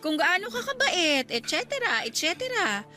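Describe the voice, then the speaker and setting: Bright-voiced young Filipino woman teacher. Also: extremely high pitch, very animated, moderate pace, soft background music, exaggerated voice. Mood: pleased, teasing. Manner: conversational, mixing Tagalog and English. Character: young woman schoolteacher being courted, candid and cautious. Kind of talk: Filipino radio drama dialogue.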